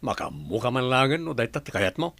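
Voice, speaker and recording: An actor playing a tight-fisted, argumentative husband and dutiful son in an Ilocano radio drama. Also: male voice, medium pitch, big pitch swings, a little fast, creaky, fairly bright tone, no echo, full character voice. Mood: annoyed.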